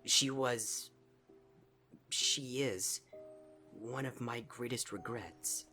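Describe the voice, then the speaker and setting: A man voicing a gentle, regal old mare princess, calm and regretful, in a story reading. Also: medium pitch, extremely slow, music in the background, voice pushed up. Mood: sorrowful. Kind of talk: character voice in fan fiction reading.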